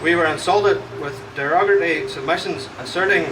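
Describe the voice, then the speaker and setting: A young man reads out a prepared statement in a measured, grave tone, his voice sitting slightly high. He is captured from a distance, with clear background noise from the surroundings. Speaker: victim's relative speaking.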